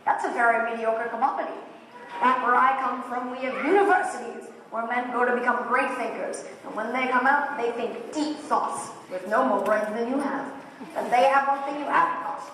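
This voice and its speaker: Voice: mid-range voice, sharp tone. A performer playing a kindly, bumbling humbug wizard with mock-grand speechifying in a school stage play. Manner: speechifying, mock-grand, halting when flustered. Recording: distant echoey hall, faint noise.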